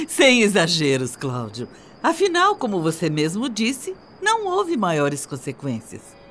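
An actress playing a vain, worldly wife of forty in a radio drama, theatrical and mocking. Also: female voice, medium pitch, wildly swooping pitch, measured pace, fairly bright tone, slight background noise. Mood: amused, dismissive. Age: 40s.

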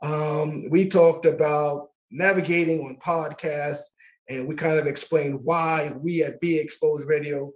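Man, middle-aged, radio host, slightly high voice, easygoing chatter, repeats himself. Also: fairly even intonation, unhurried. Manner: warm, laughs easily, repeats words. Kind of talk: casual talk radio hosting.

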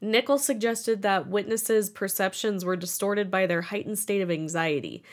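A woman's voice: medium pitch, normal speed. A young woman co-hosting a casual podcast, playful and teasing, reading from research notes between laughs. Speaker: podcast co-host.

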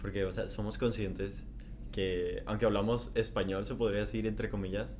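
A very low-voiced Mexican woman you'd hear hosting a casual student podcast, faint noise behind her. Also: steady tone, normal speed, a little mellow. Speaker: podcast host. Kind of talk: casual chat.

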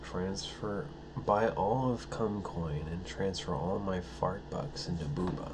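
A man's voice: low-pitched, slow, background noise. A man in his thirties co-hosting a podcast, joking and teasing in casual, crude chat. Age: 30s. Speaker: podcast co-host.

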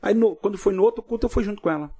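A man's voice: high-pitched, rapid-fire, warm tone, husky. A middle-aged man with a São Paulo state Brazilian accent, telling stories informally as an interview guest, often adding little tag questions. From Cubatão, São Paulo coast, Brazil. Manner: peppers talk with tag questions. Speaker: pastor, podcast guest.

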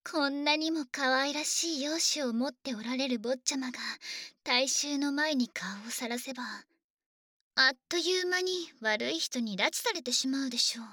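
A woman voicing a devoted, formal female dragon maid who dotes on her young master, in a soft, often whispered sleep roleplay. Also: high-pitched, moderate pace, no echo, clean studio sound. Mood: gently firm. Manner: formal, doting and gently teasing.

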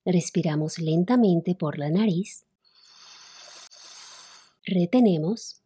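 A woman leading a guided relaxation as a meditation instructor, soothing and unhurried, with long pauses between phrases. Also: lowish voice, dark tone, breathy edge. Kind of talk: guided relaxation, yoga nidra narration.

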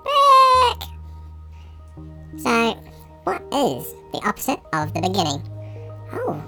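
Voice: mid-range voice, huge pitch swings, takes it slowly, gravelly, over music, heavily acted. A performer voicing small, childlike, quirky cartoon creatures from a picture book, with exaggerated playful delivery. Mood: playful, puzzled. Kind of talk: picture book character voices.

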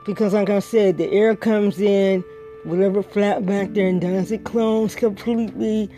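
Brief: middle-aged female life coach, raspy and breathy, unhurried, soft music underneath. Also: mid-range voice, fairly even intonation. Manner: emphatic, repeats key words. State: dry throat after a stroke. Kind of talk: motivational pep talk.

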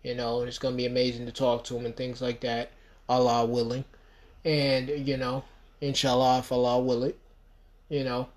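A man's voice: medium pitch, completely flat delivery, moderate pace, a little mellow. Rambling man in his thirties. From United States. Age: 30s. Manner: rambling, emphatic, many "you know" fillers. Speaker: podcast host. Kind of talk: solo podcast monologue.

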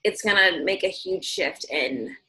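A woman co-hosting a podcast on a video call, speaking in a sleepy, slightly raspy voice and explaining astrology in casual chat with lots of fillers. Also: fairly low pitch, expressive, unhurried, sharp tone, rough recording. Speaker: podcast co-host.

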